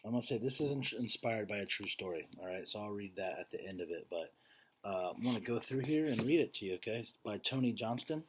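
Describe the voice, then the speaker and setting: A man reading a picture book aloud like a teacher to students, with measured phrasing and casual explanatory asides. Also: on the low side, lively intonation, slight background noise.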